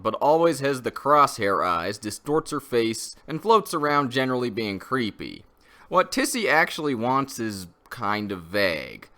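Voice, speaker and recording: A man's voice: medium pitch, pushed and bright. A man reading a scripted review in an emphatic, opinionated way, as the host. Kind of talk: scripted comic review narration.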